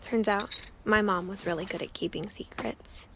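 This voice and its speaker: A young American woman playing a wistful, curious teenage girl, narrating family memories in a soft, hushed, reflective way for a story game. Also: mid-range voice, fairly even intonation, normal speed, noisy background, lightly acted. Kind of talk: intimate, reflective scripted narration. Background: game soundtrack music.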